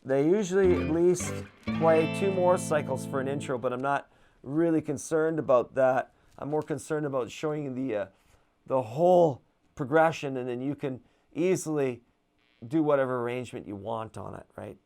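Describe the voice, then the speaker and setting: Gravelly-voiced man teaching guitar. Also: normal speed. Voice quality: low voice. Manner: relaxed and chatty, with many fillers. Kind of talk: casual guitar lesson.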